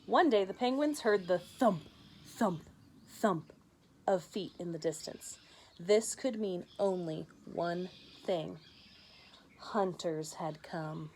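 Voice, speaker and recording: A woman's voice: fairly low pitch, takes it slowly, slight background noise. A woman teacher reading a picture book aloud to children, narrating expressively with conversational asides. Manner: animated narration with conversational asides to young listeners.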